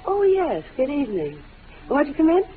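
A cool, evasive suspect on old radio. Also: female voice, sits high, huge pitch swings, normal speed. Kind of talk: 1940s radio acting. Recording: tinny old radio broadcast.

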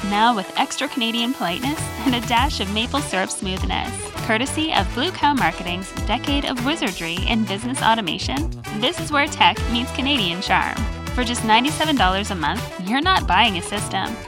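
A woman reading a scripted, jokey commercial in a bright, playful way. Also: on the high side, lively intonation, brisk. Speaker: ad announcer. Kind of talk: scripted comic radio ad read. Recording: ad with music bed.

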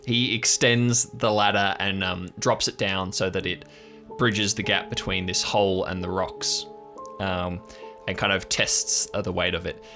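A man narrating an improvised audio drama as game master, in a halting, measured, descriptive way. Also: deep voice, level tone, over music.

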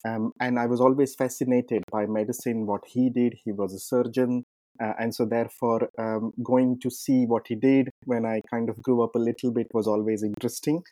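A man from India, a clinician answering interview questions in a measured way, with frequent ums and uhs. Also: medium pitch, little pitch movement, mellow, dry recording, poor audio. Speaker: clinician guest.

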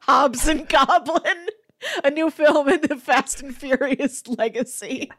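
A woman's voice: high voice, slow, dry recording, studio quality. A woman co-hosting a podcast, chatting casually and tossing in joking asides. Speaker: podcast co-host.

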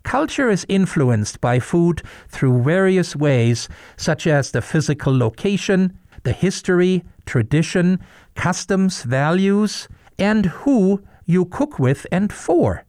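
A man's voice: sits high, big pitch swings, takes it slowly, a little mellow. A man hosting a podcast interview, speaking in a thoughtful way and often searching for his words. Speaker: host.

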